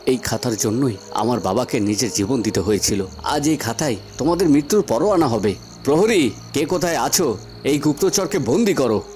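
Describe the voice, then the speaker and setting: A man playing a brave, vengeful young cowherd hero in a fairy-tale cartoon, speaking deliberately and with quiet menace. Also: medium pitch, expressive, faint music behind, natural voice. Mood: vengeful triumph.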